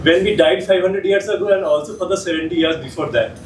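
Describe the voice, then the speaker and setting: A man's voice: high-pitched, fast talker, close to an everyday voice. A man playing an ancient male ghost, joking playfully about his own accent. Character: ancient male ghost, centuries-old friend. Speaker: improv performer. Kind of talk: improv comedy. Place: theatre stage. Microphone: distant room mic. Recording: echoey stage, distant mic, noisy.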